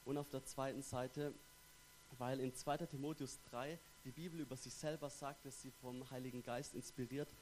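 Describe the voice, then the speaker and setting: A man's voice: mid-range voice, fairly even intonation, normal speed, a little mellow, a little hiss or hum, rough recording. A young man from Stuttgart with a light Swabian accent, a theology student giving a sermon in a friendly, chatty way with frequent fillers. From Stuttgart, Germany. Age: young adult. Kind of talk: church sermon with personal introduction.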